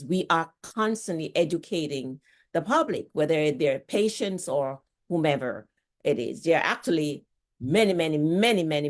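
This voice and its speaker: A middle-aged woman from Jamaica with a Jamaican accent, a nurse and author chatting as a guest, repeating words as she talks. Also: deep voice. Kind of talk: casual conversational talk.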